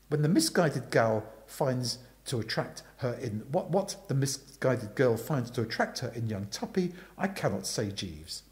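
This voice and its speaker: A man voicing an amiable, chatty young upper-class gentleman in a storybook reading, earnest and rambling. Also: mid-range voice, lightly acted.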